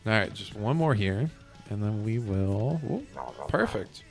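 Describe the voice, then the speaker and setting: A man streaming a video game, chatting casually and rambling as he thinks out loud. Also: lowish voice, expressive, measured pace. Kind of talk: casual live gameplay commentary. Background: game music.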